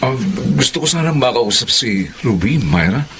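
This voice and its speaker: A man's voice: medium pitch, big pitch swings, moderate pace, slight background noise. A man with a Filipino accent playing an earnest, hesitant, apologetic ex-boyfriend in a radio drama. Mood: hesitant, polite. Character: earnest, apologetic male lawyer, hopeful ex-boyfriend. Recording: loud music under the voice.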